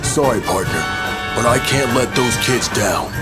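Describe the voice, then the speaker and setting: A man's voice: medium pitch, expressive, a little slow, over loud music, natural voice. An actor voicing a burly male fighter in a game, speaking with regret. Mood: remorseful.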